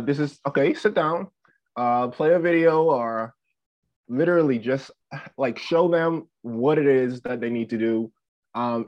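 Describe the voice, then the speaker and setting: A man in his twenties from the Bahamas talking casually as a podcast guest, hesitant and repeating phrases as he thinks. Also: mid-range voice. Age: 20s. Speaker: podcast guest, student athlete and entrepreneur. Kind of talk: casual interview talk. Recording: dull lo-fi audio.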